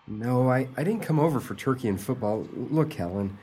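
Stammering, husky lovesick young man. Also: medium pitch, lively intonation, normal speed, mellow. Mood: nervous, earnest. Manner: stammering, earnest. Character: nervous, lovesick, too-agreeable young man pleading for romance. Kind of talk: radio play acting.

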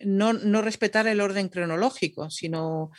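A woman's voice: mid-range voice, moderate pace, no echo, studio quality. Chatty woman, podcast guest. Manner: chatty, self-deprecating, laughing. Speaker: podcast guest, radio announcer. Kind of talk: casual interview chat.

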